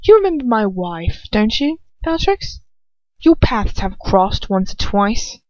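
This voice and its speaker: A woman's voice: mid-range voice, big pitch swings, unhurried, darkish tone, dry recording, lo-fi sound. A woman voicing a cold, haughty, contemptuous man in a story reading, with a curt and drawling manner. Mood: menacing.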